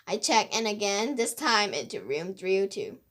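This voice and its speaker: A woman voicing a mischievous woman mystery writer in a storybook, explaining with animated emphasis. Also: medium pitch, moderate pace. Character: playful, mischievous woman mystery author confessing her scheme.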